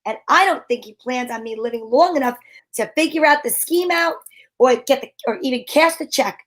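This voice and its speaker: A woman playing a sharp-witted, wisecracking private detective in an old-time radio drama, talking in breezy slang. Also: sits high, normal speed. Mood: wry, explaining.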